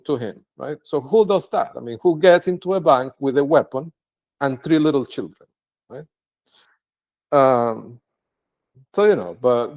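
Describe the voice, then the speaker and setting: Native Spanish-speaking man, solo vlog monologue, halting and rambling, voice sits a bit high. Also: a little mellow. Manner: rambling, halting, tags sentences with "right?". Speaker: video blogger. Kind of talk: unscripted monologue to camera.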